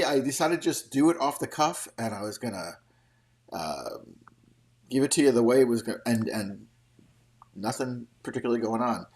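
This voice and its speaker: Middle-aged male host for off-the-cuff trade news commentary, opinionated and rambling, mid-pitched voice, comfortable with casual swearing.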